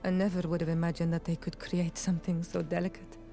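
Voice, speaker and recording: A woman's voice: fairly low pitch, little pitch movement, fast talker, vocal fry, mellow, faint music behind. An actress playing a proud, loyal young warrior woman of a tribe in a video game cutscene, with solemn, formal and intense phrasing. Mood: awed.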